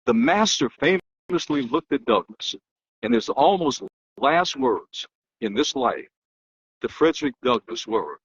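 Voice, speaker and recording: A man giving an emphatic, declamatory dramatic reading in the manner of a public orator, with weighty pauses and a husky voice. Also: mid-range voice, lively intonation, a bit bright, dry recording. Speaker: political analyst and host. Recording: dull, lo-fi muffled sound.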